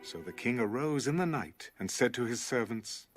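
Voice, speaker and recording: A man's voice: mid-range voice, animated, moderate pace, close to an everyday voice. A man narrating scripture as a dramatized audio production. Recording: music under the voice. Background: background music.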